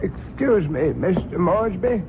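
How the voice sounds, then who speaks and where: An actor playing a formal, deferential household butler in an old radio drama, polite and correct. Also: male voice, high voice, lively intonation, a little slow, loud music behind the voice. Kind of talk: 1970s radio drama acting. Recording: lo-fi old radio broadcast, faint hiss.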